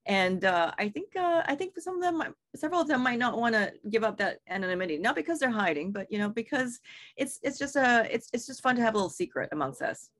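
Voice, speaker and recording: A woman's voice: a little high, sing-song, fast talker, a bit bright. A woman from Singapore, an author and editor, talking in an interview in a chatty way with many fillers and restarts. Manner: chatty, lots of fillers and restarts, laughs easily. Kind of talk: interview conversation.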